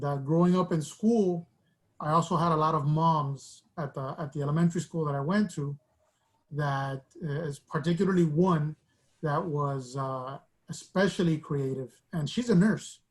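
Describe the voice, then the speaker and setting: Reflective man from Miami, fairly high voice. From Miami, United States. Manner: reflective, rambling with restarts. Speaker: guest artist. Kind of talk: casual interview storytelling.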